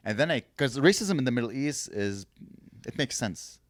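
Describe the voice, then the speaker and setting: A man's voice: medium pitch, moderate pace, dry recording. A man from Lebanon, a comedian guest on a podcast, telling stories in casual banter and breaking into mocking impressions. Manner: animated, mocking impressions and bursts of laughter.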